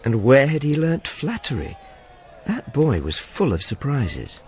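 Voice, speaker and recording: A man's voice: mid-range voice, wildly swooping pitch, unhurried, darkish tone, slight background noise. An actor playing a wry Roman informer, narrating his misadventures in a dry, sardonic way for a radio drama. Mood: wry storytelling.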